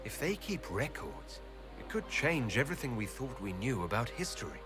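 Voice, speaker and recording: An actor playing an inquisitive adventurer hero in scripted fantasy game dialogue. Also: male voice, mid-range voice, big pitch swings, moderate pace, over music, close to an everyday voice. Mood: intrigued.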